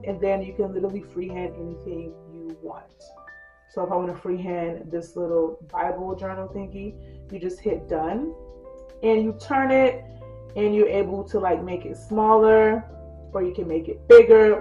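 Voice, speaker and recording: A woman vlogger giving a casual tutorial, chatty and full of fillers, a bit congested from a cold. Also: mid-range voice. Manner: addresses viewers as "girl" and "you guys". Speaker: lifestyle vlogger. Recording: dull roomy recording with soft music.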